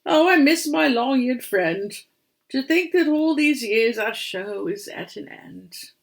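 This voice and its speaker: A woman's voice: high-pitched, expressive, slow, creaky, pitched above the performer's own voice, full character voice. A woman voicing a kindly, sentimental old grandpa badger magician in a storybook, speaking with theatrical feeling. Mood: wistful. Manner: theatrical, heartfelt exclaiming.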